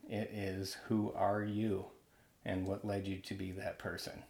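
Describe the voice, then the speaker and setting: A man hosting a casual interview podcast, relaxed and joking as he pushes his guest for deeper answers. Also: measured pace, creaky, roomy sound. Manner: relaxed, joking, probing questions. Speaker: podcast host and bar owner.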